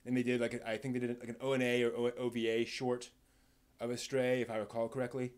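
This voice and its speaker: A man's voice: mid-range voice, monotone. Man rambling casually on a live stream. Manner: halting and rambling, with repeated words and trailing thoughts. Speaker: live stream host and anime reviewer.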